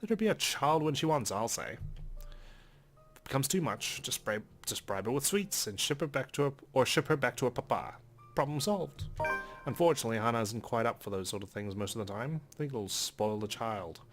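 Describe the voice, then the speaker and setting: A man's voice: medium pitch, lively intonation, moderate pace. A man hosting a let's play, reading a visual novel aloud as narration with casual commentary of his own. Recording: faint game music behind. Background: game music.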